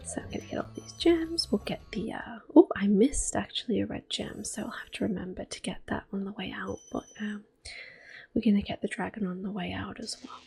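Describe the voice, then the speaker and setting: Woman whispering soft gameplay commentary. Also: medium pitch, fairly quick, vocal fry, faint music behind. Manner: soft-spoken, gentle, with quiet laughs. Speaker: ASMR gameplay host.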